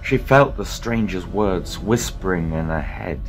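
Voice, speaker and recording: Very deep, slow-paced male narrator. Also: animated, rough recording. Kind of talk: dramatic scripted story narration. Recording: voice over music.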